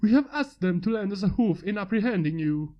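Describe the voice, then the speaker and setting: A woman's voice: medium pitch, expressive, brisk, dull audio, natural voice. A woman voicing a pompous male royal guard pony who speaks in formal, old-fashioned words. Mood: commanding. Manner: formal, archaic, commanding.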